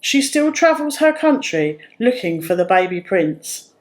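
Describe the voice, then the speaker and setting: Slow, very expressive woman storyteller. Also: mid-range voice. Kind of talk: expressive storybook reading for children.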